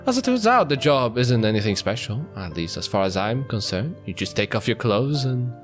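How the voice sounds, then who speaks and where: Male let's-play narrator, very lively mid-range delivery, playful and jokey, over background music. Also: medium pitch, fairly quick. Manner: playful, jokey, breaks into laughter. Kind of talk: animated visual novel read-aloud with joking asides. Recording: music behind the voice.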